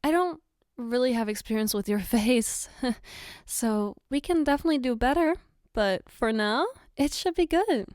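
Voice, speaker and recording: A smooth, fairly high voice from a woman acting as a caring girlfriend in an ASMR roleplay. She takes her time, explaining things patiently with gentle reassurance and a playful, teasing touch. The sound is dry, with hardly any room to it. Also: expressive, normal speed, no echo, lightly acted. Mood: soft, explaining. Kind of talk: ASMR roleplay, soft personal attention.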